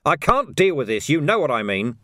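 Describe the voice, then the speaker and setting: A man's voice: on the high side, sing-song, fairly quick, pushed and bright, no echo, clean studio sound, pitched above the performer's own voice. A man voicing an irritable, weary watch commander in an audiobook, blunt and exasperated. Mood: angry. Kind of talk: audiobook character voice.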